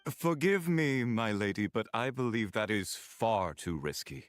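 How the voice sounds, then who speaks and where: A solemn guardian from a video game, with a fairly low voice. He is devout and suspicious, and he speaks in formal, measured phrases. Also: animated. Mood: grave, disapproving. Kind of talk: video game dialogue acting.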